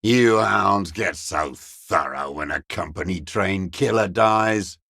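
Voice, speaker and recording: Casting: a bitter old sniper in hiding, video game; creaky, gravelly, scornful, very dry close sound. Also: male voice, low-pitched, fairly bright tone, dry recording, exaggerated voice. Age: older. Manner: slow, contemptuous, bitter invective. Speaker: video game character actor. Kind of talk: dramatic video game voice acting.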